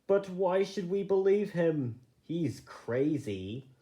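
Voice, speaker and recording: A man voicing a doubting, scornful male rabbit with a drawn-out, challenging delivery in a storybook. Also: high voice, expressive, dark tone, pitched above the performer's own voice.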